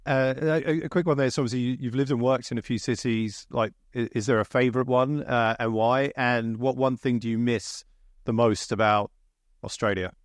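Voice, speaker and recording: A man's voice: mid-range voice, steady tone, normal speed, dry recording, clean studio sound. A man hosting an interview podcast, asking questions casually with stammering restarts. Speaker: podcast host.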